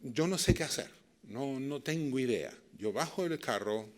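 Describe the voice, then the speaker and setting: A man's voice: medium pitch, lively intonation, normal speed. A middle-aged man from Chile with a Chilean accent, giving a master class and telling a story to a live audience. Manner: warm anecdotal storytelling with direct questions to the audience.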